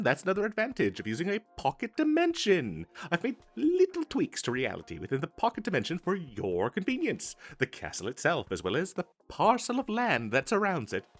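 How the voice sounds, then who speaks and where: A man's voice: fairly high pitch, huge pitch swings, normal speed, faint music behind, exaggerated voice. A man voicing an eager, boastful wizard salesman in a comedic fantasy audio roleplay, with theatrical emphasis and a stammering, hard-selling manner. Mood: pitching, enthusiastic. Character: eager, boastful male wizard salesman hawking magical wares. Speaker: street vendor character in an audio roleplay.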